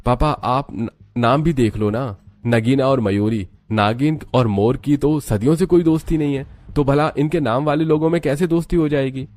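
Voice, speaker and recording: A man's voice: mid-range voice, moderate pace, a little mellow, voice pushed up, natural voice. A man voicing an exasperated young husband in a moral story cartoon, sounding fed up and pleading. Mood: wry, resigned. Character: exasperated young husband caught between wife and mother.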